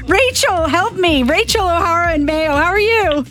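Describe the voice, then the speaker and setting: A woman presenting breakfast radio, bubbly and excitable. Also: very high voice, normal speed. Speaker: radio host. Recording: radio broadcast with music bed.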